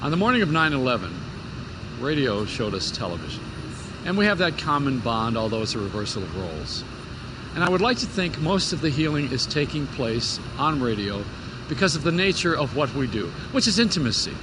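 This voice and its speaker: Casting: male commentator, mid-pitched, expressive and reflective, on location with clear street noise. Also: fairly quick. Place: outdoors in a city. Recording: noisy outdoor city location with traffic hum.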